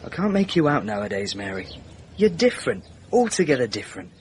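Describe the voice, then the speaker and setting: An actor playing a plain-spoken, aggrieved young English village lad in a radio drama, blunt and informal. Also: male voice, medium pitch, very animated, a little fast, slight background noise, natural voice. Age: young adult.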